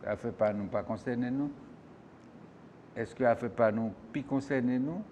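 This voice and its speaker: A man's voice: mid-range voice, normal speed, a little mellow, dull audio, a little hiss or hum. A man hosting a call-in political talk show, who questions and presses his guest.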